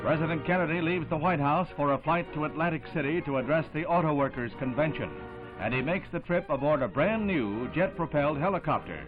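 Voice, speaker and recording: A man's voice: a little high, brisk, over loud music. A man narrating a 1960s newsreel in a formal, declamatory announcer's style. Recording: phone-like vintage 1960s newsreel soundtrack.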